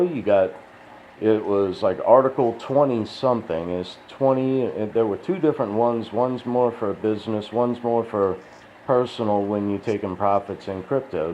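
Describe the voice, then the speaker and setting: A man rambling in a casual, profane, folksy monologue, sounding tired and dry-mouthed. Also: mid-range voice. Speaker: crypto video host. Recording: dull lo-fi sound with faint hiss.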